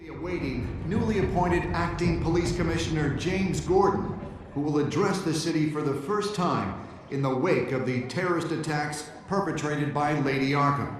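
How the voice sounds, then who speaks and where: A man's voice: on the high side, normal speed, crowd noise, lightly acted. An actor playing a grave male television news reporter in a video game, delivering solemn scripted bulletins. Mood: urgent, skeptical. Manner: crisp, solemn newsreader delivery.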